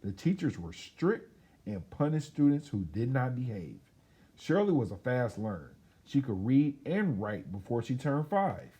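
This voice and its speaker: A man reading a children's storybook aloud as a storyteller, in an expressive, chatty way with casual asides. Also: medium pitch, a little slow, slightly rough.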